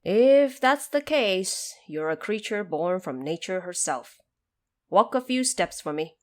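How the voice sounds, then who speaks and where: A woman voicing a stern, wise old male patriarch in an audio drama, commanding and deliberate as he lectures. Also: medium pitch, animated, natural voice. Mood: probing.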